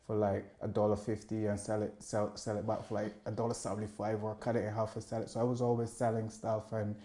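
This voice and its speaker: A man's voice: lowish voice, monotone, fast, dark tone. A man with a Bajan accent giving a casual interview as a musician, informal and often rephrasing himself. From Barbados. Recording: roomy, echoing interview room.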